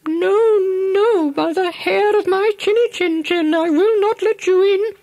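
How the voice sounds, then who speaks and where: Brief: timid little piglets, very high and squeaky. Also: male voice, unhurried, gravelly, darkish tone, exaggerated voice, rough recording. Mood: pleading.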